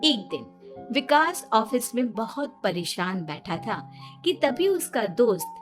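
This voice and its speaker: A woman's voice: a little high, very animated, slow pace, over music. A woman narrating a scripted children's story as its storyteller.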